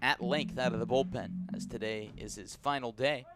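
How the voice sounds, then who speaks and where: Measured male baseball play-by-play announcer. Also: vocal fry, heavy background noise. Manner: measured delivery, lifting on each pitch. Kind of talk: live radio sports commentary. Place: stadium press box.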